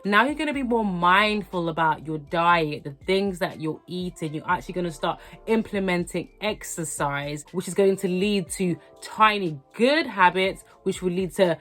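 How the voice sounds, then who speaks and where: A young woman, hoarse from a cold, talking earnestly and encouragingly to her viewers in a motivational vlog. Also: lowish voice, moderate pace, hardly any pauses, fairly bright tone, soft background music. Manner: earnest, encouraging, repetitive emphasis. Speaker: lifestyle vlogger. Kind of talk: motivational talk to camera.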